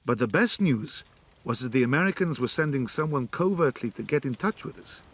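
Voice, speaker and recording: An actor playing a weary middle-aged resistance leader who also narrates, speaking with calm, dry understatement. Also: male voice, medium pitch, fairly quick. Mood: narrating.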